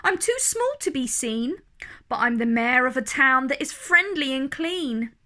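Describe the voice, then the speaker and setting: A woman's voice: high-pitched, expressive, measured pace, bright, forceful tone, full character voice. A woman voicing a tiny, friendly storybook mayor of minuscule folk, polite, piping and grateful. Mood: proud, thankful.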